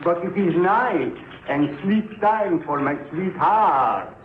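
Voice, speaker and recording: Courtly foreign crook, fussily regretful, halting and flowery, on a roomy, narrow-band fifties radio recording. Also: male voice, high voice, expressive. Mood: regretful, fussy. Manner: ornately polite, hesitant, repeating himself. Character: courtly, regretful foreign smuggler and gambler doting on his birds. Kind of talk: 1950s radio drama acting. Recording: roomy, phone-like, faintly noisy 1950s radio recording.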